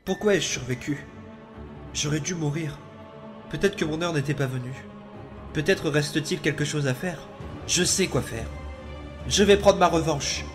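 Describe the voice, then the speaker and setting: A man's voice: fairly high pitch, moderate pace, pauses often, over music, lightly acted. A man voicing a menacing, manipulative young boy out for revenge in a French comic dub, coaxing and commanding. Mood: grim, vengeful.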